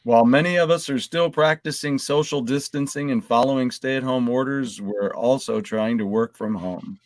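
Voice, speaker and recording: A man's voice: mid-range voice, normal speed, no echo. Man chatting casually, close dry sound. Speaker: podcast co-host. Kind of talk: casual group chat, reading out items.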